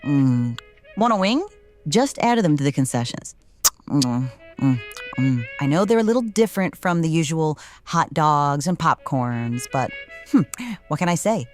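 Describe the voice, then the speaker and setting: Low-voiced, swooping, taunting lady crime boss. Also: animated, unhurried, darkish tone, soft background music. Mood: smug, playful. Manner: taunting, theatrical, hums while eating. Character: smirking, cruel wrestling boss woman with a mocking temper. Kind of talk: noir audio drama acting.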